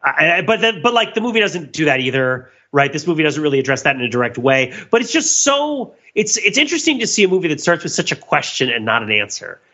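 A man's voice: fairly high pitch, very animated, fast talker, hardly any pauses, pushed and bright, dull audio. A man in a casual, analytical podcast discussion, rambling as he thinks aloud in wordy, intellectual phrases and often repeating himself. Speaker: podcast host.

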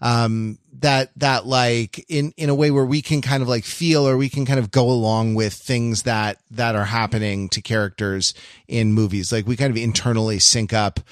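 A man co-hosting a podcast, discussing film casually and analytically in a halting way, often repeating words as he works out his ideas. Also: medium pitch, fairly even intonation, no room sound, clean studio sound. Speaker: podcast co-host.

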